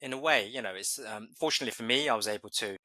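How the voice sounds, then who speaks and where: Bright-voiced English man, thoughtful guest. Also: on the low side, rapid-fire, dry recording, poor audio. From England. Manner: thoughtful, many fillers and restarts. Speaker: podcast guest. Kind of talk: conversational interview answers.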